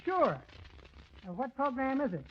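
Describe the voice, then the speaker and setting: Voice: high voice, big pitch swings, unhurried, dull audio. A performer voicing an eager, nosy young telegram delivery boy in a 1930s radio comedy. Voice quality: chirpy, nosy. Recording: old radio recording with studio laughter.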